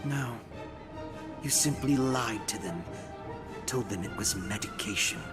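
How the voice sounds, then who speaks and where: An actor playing a sardonic, smug assassin in a fantasy video game, with florid, theatrical, mocking phrasing. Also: male voice, lowish voice, measured pace, lightly acted. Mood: mocking menace. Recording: loud music behind the voice.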